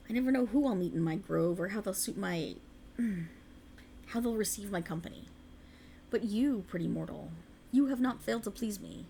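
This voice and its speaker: Creaky, coaxing ancient faerie woman, acted by a woman. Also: a little high, warm tone, a little hiss or hum. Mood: tender, admiring. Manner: soothing, formal archaic phrasing, coaxing. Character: ancient alluring female faerie, tender yet sinisterly possessive. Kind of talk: scripted ASMR roleplay.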